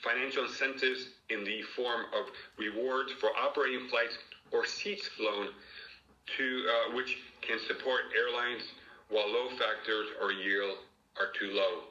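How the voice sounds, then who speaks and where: A man giving a formal prepared press briefing as an industry executive, reading in a measured, halting way. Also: medium pitch, fairly even intonation, pushed and bright. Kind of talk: formal prepared presentation read aloud. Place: virtual press conference call. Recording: dull, roomy conference call audio.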